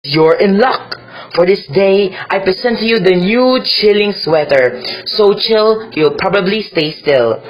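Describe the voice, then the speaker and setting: A woman's voice: medium pitch, lively intonation, a little slow, lo-fi sound. A woman playing an upbeat announcer reading a scripted radio commercial. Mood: promotional. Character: upbeat product pitch announcer. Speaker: advertisement announcer.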